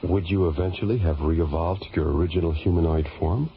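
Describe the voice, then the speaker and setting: A man's voice: low voice, a little fast. A curious crewman. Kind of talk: 1970s radio drama acting. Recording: dull old radio broadcast under music.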